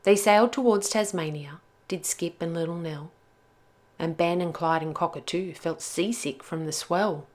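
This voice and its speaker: A woman's voice: fairly low pitch, normal speed. A woman storyteller reading a rhyming children's picture book aloud with expression.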